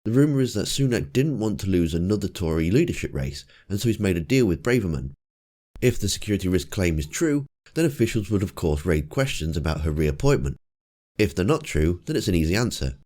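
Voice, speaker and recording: A man with a croaky, cold-roughened voice, giving casual commentary with dry, wry asides. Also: low-pitched, very animated, fairly quick, a little mellow. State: hoarse from a cold. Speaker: political commentator. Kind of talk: casual commentary over clips.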